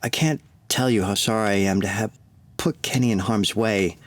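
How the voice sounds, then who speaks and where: An actor playing an uneasy, apologetic field researcher in an audio drama, speaking in a halting, formal and deferential way. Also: male voice, fairly low pitch, close to an everyday voice. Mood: apologetic, concerned. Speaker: field researcher reporting to his boss.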